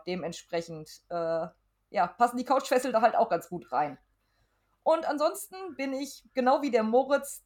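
Chatty woman podcaster, medium pitch. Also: a little mellow. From Germany. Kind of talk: casual podcast chat.

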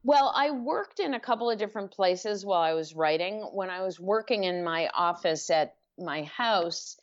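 A woman author being interviewed, speaking thoughtfully with pauses and self-corrections. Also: on the low side, lively intonation, lo-fi sound. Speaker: author guest. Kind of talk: podcast interview.

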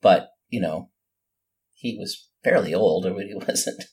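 A man's voice: low-pitched, slow pace, a little mellow, breathy edge. A man chatting casually as a co-host on a podcast. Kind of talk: casual chat.

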